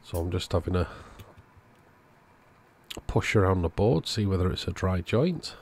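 A man with a deep, gravelly voice talks through a repair at his home workbench. He thinks aloud in a calm, methodical way, and his tone has a slightly husky edge. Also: unhurried. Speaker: electronics repair video host. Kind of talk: casual narrated repair walkthrough.

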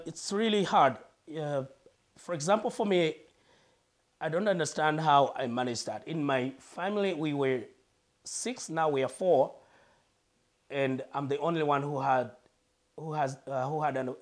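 A Malawian man, a guest on a lapel mic in a living room, earnestly telling his life story and pausing to search for words. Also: fairly high pitch.

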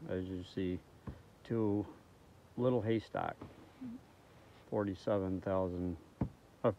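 A man in his thirties talking casually to a phone at arm's length outdoors in a forest, rambling with frequent ums. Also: on the low side. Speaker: outdoors vlogger. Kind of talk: casual vlog to camera. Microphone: phone held at arm's length. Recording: tinny phone audio with faint outdoor noise.

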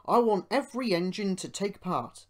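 Woman acting male storybook railway boss, authoritative, grave, raised animated voice. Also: mid-range voice, moderate pace, pitched above the performer's own voice, lightly acted. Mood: commanding. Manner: grave, decisive, commanding.

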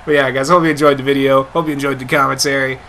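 Hyped man shouting wrestling play-by-play. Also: medium pitch, flat delivery, quick pace, slight background noise. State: getting hoarse, coughing. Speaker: gameplay commentator. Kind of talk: live wrestling game commentary.

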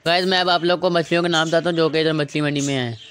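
A young man from Pakistan hosting a vlog, narrating facts about a fish market in a steady, formal way. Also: fairly high pitch, racing, slight background noise. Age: young adult. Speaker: vlog host.

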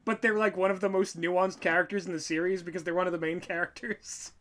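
A man co-hosting a casual reaction commentary in an animated, exasperated manner. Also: high-pitched, fast. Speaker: co-host, writer reacting to writing tips.

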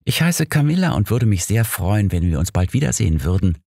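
A man's voice: lowish voice, sing-song, fairly quick, vocal fry, a little mellow, dry recording, studio quality, pitched above the performer's own voice, full character voice. A man voicing a flirtatious, forward female train passenger in an audiobook. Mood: cooing, eager. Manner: cooing, coquettish. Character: flirtatious forward lady train passenger, later shrill and panicked.

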